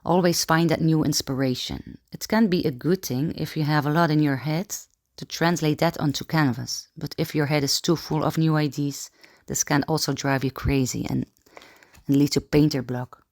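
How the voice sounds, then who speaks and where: A woman from Belgium, a painter calmly reading a personal statement about her work. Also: deep voice, moderate pace.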